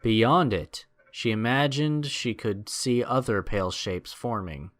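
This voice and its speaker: A man's voice: medium pitch, expressive, measured pace, fairly bright tone. A man narrating a scripted adventure story in a dramatic storytelling style.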